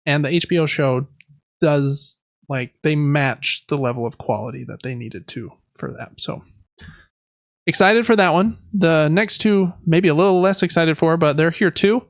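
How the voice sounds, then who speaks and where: A man's voice: fairly high pitch, normal speed, creaky, no echo, highs cut off. A man hosting a gaming podcast, running through news in a casual, conversational and informal way. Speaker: podcast host.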